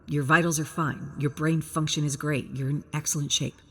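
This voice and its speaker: A matter-of-fact male doctor in a space colony story, acted out by a woman narrator. He is dismissive, brisk and clinical, with a husky edge. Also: low-pitched, steady tone, big-room sound.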